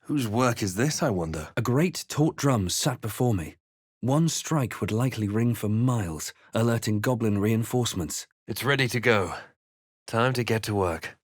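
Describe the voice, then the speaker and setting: A man playing a wary, quietly wry fantasy adventurer in a video game, speaking in a measured, deliberate, dramatic way. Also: lowish voice, animated, dry recording, studio quality. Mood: wry, musing. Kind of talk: video game voice lines, dramatic narration and muttered asides.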